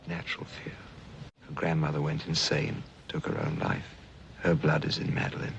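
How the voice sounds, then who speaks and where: Grave, confiding husband, 1950s film drama: low-pitched and gravelly, with muffled, faintly noisy sound. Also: male voice, very animated, normal speed, exaggerated voice. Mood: ominous. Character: grave, troubled husband confiding family secrets.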